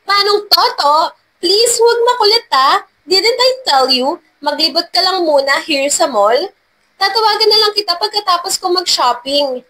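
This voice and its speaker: A woman's voice: very high-pitched, lively intonation, normal speed, bright, forceful tone, pitched above the performer's own voice, full character voice, poor audio. A woman voicing a fussy, affected rich shopaholic lady with a whiny manner, in a narrated love story. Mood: affected annoyance. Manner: affected, whiny, mixing in English. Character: pretend rich, fussy shopaholic lady scolding her driver.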